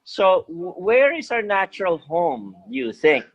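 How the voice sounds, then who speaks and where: A man's voice: high voice, very animated, measured pace. A man moderating an online meeting, speaking in a rallying style and putting questions to the group. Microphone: video call. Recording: dull, lo-fi video call audio.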